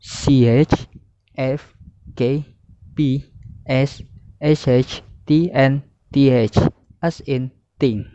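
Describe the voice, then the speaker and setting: A young man reading a scripted lesson aloud as a student presenter, in a careful, halting way. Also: mid-range voice, fairly even intonation, warm tone, no echo. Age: young adult. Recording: muffled, dull lo-fi audio.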